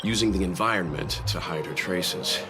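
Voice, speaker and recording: A man playing a calm, wry investigator in a video game. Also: sits low, normal speed, over music, lightly acted. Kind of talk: video game character dialogue.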